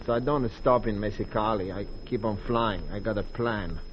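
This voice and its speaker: An actor playing a passionate, boastful, lovesick pilot with a Latin American accent and broken English, in 1940s radio style. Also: male voice, on the low side, steady tone, moderate pace, natural voice. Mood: beaten, confessing. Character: hot-headed lovesick Latin pilot and smuggler. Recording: muffled, noisy old radio recording.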